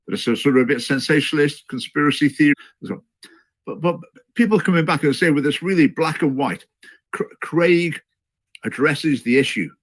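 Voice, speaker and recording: A man speaking in a measured, hesitant way in a video commentary, with many fillers and restarts. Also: medium pitch, a bit bright. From Scotland. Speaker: commentator in a played clip.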